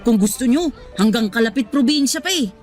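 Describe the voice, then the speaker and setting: A woman's voice: on the high side, lively intonation, a little fast, a little mellow, lightly acted. A woman playing a feisty, cheeky young adult, fiercely loyal to family, in a radio drama. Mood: defiant. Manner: sassy, teasing back-talk. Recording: music underneath.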